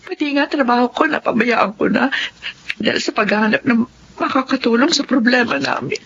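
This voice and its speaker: A woman's voice: on the high side, brisk, gravelly, fairly bright tone, husky, muffled recording, exaggerated voice. A woman playing a worried, tearful mother. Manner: emotional, tearful. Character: worried mother of a sick child. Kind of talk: radio drama acting.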